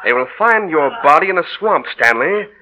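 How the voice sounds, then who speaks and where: An actor playing a cold-blooded, greedy murderer with melodramatic gloating in a vintage radio drama. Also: male voice, a little high, lively intonation, moderate pace, close to an everyday voice. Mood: cruel gloating. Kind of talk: 1940s radio drama acting. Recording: dull, lightly noisy old radio recording.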